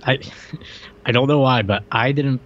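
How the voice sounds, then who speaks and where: A man's voice: mid-range voice, unhurried, fairly bright tone. Casual male co-host, slightly muffled lo-fi sound. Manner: conversational, loose slangy phrasing. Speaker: podcast co-host. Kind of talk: casual film discussion podcast.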